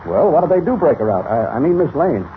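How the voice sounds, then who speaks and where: A man's voice: on the high side, big pitch swings, fast. A man playing an earnest, anxious police commissioner with an official manner in a 1940s radio serial. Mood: concerned. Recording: scratchy, tinny old radio recording.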